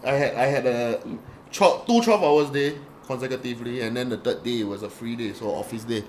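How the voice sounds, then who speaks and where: A man's voice: medium pitch, brisk, a little hiss or hum. A young man with a Singaporean accent hosting a casual podcast chat, telling stories with many restarts and fillers. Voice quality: says he has a radio voice. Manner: rambling, storytelling, frequent restarts and fillers. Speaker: podcast host. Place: small podcast room. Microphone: studio podcast mic.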